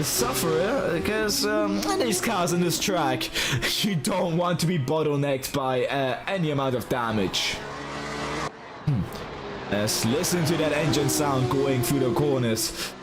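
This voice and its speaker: A man with a raspy voice commentating a sim racing league, talking in halting runs with lots of fillers and restarts. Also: sits high. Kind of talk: live race commentary.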